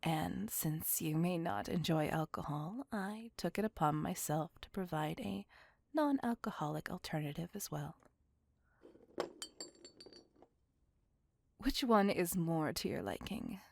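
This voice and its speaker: A woman playing a courteous, melancholy vampire on a date in an intimate ASMR roleplay, speaking softly and formally in a breathy, whispery voice, tender and wistful. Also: on the low side, animated, normal speed, no room sound. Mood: gracious, gentle.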